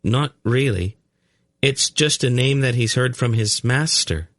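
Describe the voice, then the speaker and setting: A man voicing an uncanny young boy in a storybook, explaining things calmly and matter-of-factly. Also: medium pitch, a little slow, no room sound, studio quality, pitched above the performer's own voice. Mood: calm, knowing. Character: calm, uncanny young blond boy who reads minds.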